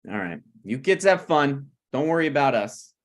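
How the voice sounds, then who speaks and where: Man acting flippant male screenwriter, awkward banter, conversational table-read comedy, medium pitch, online call. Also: sing-song, normal speed, lightly acted. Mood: wry, teasing. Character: flippant male screenwriter, awkward, needling, self-deprecating friend.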